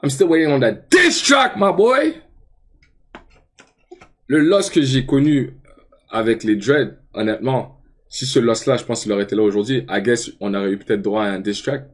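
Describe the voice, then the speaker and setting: A young man with a Quebec French accent, commenting casually on a live stream and switching into English slang with animated outbursts. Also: medium pitch, moderate pace. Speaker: streamer reacting to rap videos.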